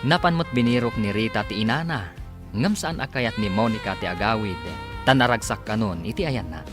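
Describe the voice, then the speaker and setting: A man's voice: lowish voice, animated, brisk. A man delivering scripted radio drama narration in a dramatic announcer manner. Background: music.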